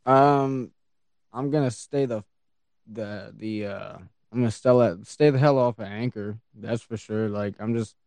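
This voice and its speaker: Laid-back low-voiced man on a podcast. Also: measured pace, a little mellow, dry recording. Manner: laid-back, full of fillers and restarts. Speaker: podcast co-host. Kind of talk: casual podcast chat.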